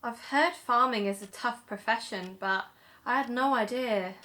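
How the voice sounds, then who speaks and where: A woman's voice: a little high, a little slow, sharp tone. A woman playing an earnest, conscientious investigative podcaster in an audio drama. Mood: polite, careful. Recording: music bed under the voice.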